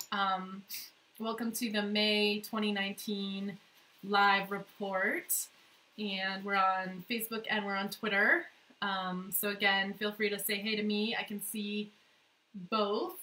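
Casting: American woman, livestream host, mid-pitched and bright, slightly congested from a cold, halting casual delivery. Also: rough recording. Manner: informal, halting, improvised. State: sniffly with a cold. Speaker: livestream host, national director of an advocacy group. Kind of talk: casual livestream chat to viewers. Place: corner of a kitchen in a house, indoors.

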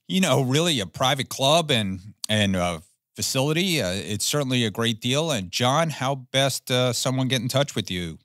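A man's voice: mid-range voice, fairly bright tone, no echo, clean studio sound. A man hosting a business interview podcast, asking friendly, rambling questions. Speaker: podcast host.

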